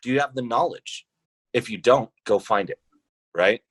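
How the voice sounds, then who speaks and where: A man speaking as a podcast guest, thinking aloud deliberately in a conversational interview. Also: medium pitch, husky, no echo. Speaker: podcast guest, founder of a role-playing podcast.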